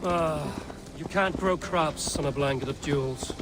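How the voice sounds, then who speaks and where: An actor playing a steady, poetic Viking warrior in a video game, speaking in a measured, dryly teasing and commanding way. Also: male voice, fairly high pitch, background noise, natural voice. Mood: wry, proud. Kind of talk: dramatic video game acting. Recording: music behind the voice.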